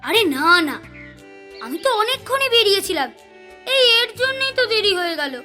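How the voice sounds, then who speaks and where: A woman voicing a mischievous, bossy young village boy in a children's cartoon, with an excitable manner. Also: extremely high pitch, brisk, faint music behind, full character voice. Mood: bossy, scheming.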